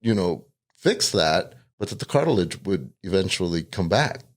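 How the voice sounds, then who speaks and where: A man with a congested, low voice tells animated stories on a casual podcast, laughing often. His pitch swings widely as he acts out what others said. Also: moderate pace. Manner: laughs a lot, animated storytelling. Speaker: guest, cousin. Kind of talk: casual storytelling chat.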